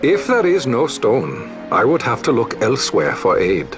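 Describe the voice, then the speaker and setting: A resolute resistance commander, his formal lines rising and falling with lively pitch. A loud orchestral score swells beneath him in this game cutscene. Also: moderate pace, over music. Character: determined male resistance commander, calculating. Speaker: resistance leader.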